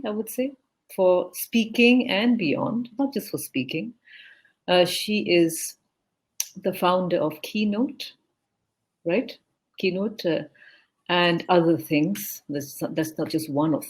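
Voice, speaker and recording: An event host introducing a speaker over a video call. The voice sits at a middle pitch. The delivery is effusive and loose, full of fillers and restarts. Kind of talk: live online event introduction.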